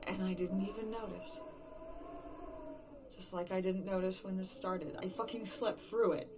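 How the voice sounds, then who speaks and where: A woman acting an exhausted, frightened survivor in a horror audio drama monologue, haltingly thinking aloud and repeating herself. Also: medium pitch, fairly even intonation, background noise, lightly acted. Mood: defeated. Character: exhausted, frightened woman game writer, alone after everyone vanished.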